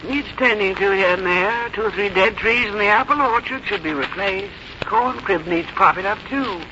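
A woman's voice: mid-range voice, normal speed, natural voice. An actress playing a fussy, fond but bossy older American farm mother in a 1950s radio drama, with homespun, matter-of-fact phrasing. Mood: brisk, fussy. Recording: muffled, noisy old radio broadcast recording.

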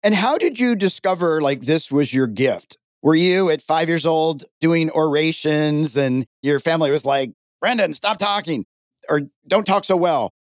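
Joking podcast host guy, slightly high voice, lively, dry mic. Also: unhurried, dry recording. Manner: animated, jokey, comic pacing. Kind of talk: podcast interview, conversational.

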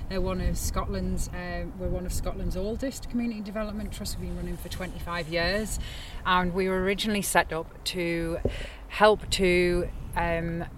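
A woman's voice: on the low side, background noise. A woman from Sunderland, an estate manager, explaining things steadily with many fillers and restarts in an outdoor interview. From Sunderland, north-east England. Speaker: nature reserve estate manager. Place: open moorland outdoors.

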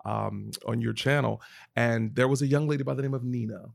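A man talks earnestly on a podcast in a conversational style. His voice is mid-pitched and heavily gravelly, full of fry. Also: a little fast. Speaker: podcast participant.

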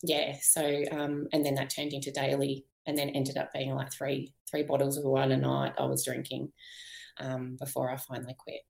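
A woman's voice: extremely low pitch, monotone, normal speed, vocal fry, darkish tone, echoey room. An Australian woman in her fifties, a guest in a podcast interview, telling her own story candidly with many ums and light laughs while losing her voice. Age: 50s. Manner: chatty, many ums and you knows, frequent light laughs.

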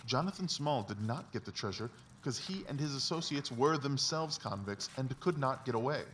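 A man's voice: mid-range voice, expressive, normal speed, fairly bright tone, natural voice. A man playing a confident, analytical Victorian detective who explains things in a precise, emphatic, didactic way, as in a dramatised audiobook. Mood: brisk, assured explaining. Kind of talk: dramatised literary audiobook dialogue. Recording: distant mic, faint background noise.

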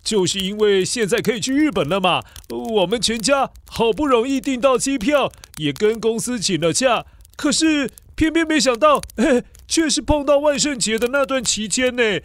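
A man's voice: very high voice, expressive, moderate pace, few pauses, fairly bright tone. A man voicing a polite, sheepish father in a children's audio story, chuckling and coaxing as he asks for a favor. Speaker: voice actor.

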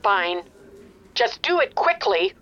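Over a dull, lo-fi phone line comes an older, anxious mother in an audio drama. Her voice is high and swings widely as she deals testily with customer service, in a natural acting style. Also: big pitch swings, slow pace, a bit bright, heavily acted. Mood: exasperated. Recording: dull, lo-fi phone-call sound.

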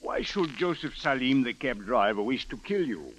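An actor playing a stern, sceptical Egyptian police captain who speaks precisely and formally in an old radio drama. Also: male voice, mid-range voice, sing-song, moderate pace, fairly bright tone. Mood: stern, questioning. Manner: precise, formal, without contractions. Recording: dull vintage radio recording.

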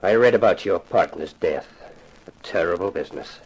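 A man's voice: low voice, breathy edge, rough recording. An actor playing a grave, formal, wealthy father hiring a detective in a 1950s radio drama. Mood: solemn, remorseful. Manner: formal, measured, solemn phrasing.

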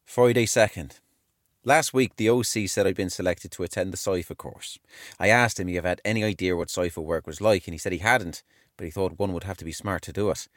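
A man performs an earnest young British army clerk sharing his wartime diary in a dramatised reading. The voice sits fairly low, with measured, expressive phrasing. The sound is dry and close. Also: no echo, lightly acted. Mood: earnest, keen. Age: 20s.

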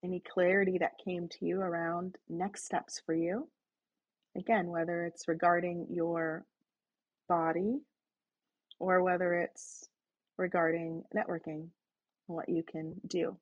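Soft-spoken woman guiding a breathing practice. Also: fairly low pitch, level tone, highs cut off. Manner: soft, slow, inviting. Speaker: host leading a guided breathing session. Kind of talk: guided breathing and reflection. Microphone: online call.

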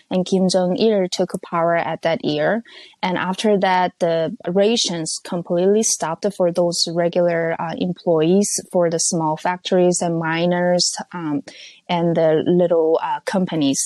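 A woman in her thirties with a North Korean accent and a lowish voice tells her story as a podcast guest, Korean being her first language. She speaks in a measured, careful way, pausing often and reaching for words. Her voice comes through a phone line and sounds close and dry. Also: a little mellow, no room sound. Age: 30s. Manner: measured, careful, with frequent pauses and fillers. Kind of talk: personal testimony interview. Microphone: phone call.

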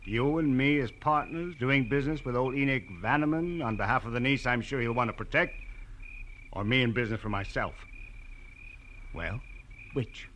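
A man's voice: medium pitch, moderate pace, fairly bright tone, close to an everyday voice. An American man playing a scheming, cynical private detective in a 1940s radio crime drama, slangy and smug. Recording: noisy vintage radio recording.

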